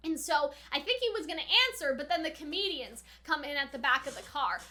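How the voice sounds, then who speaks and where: High, bright-voiced woman chatting casually. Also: lively intonation, brisk. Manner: informal, speculative, frequent "like" and "right?". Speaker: reaction video host. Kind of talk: casual anime reaction and commentary.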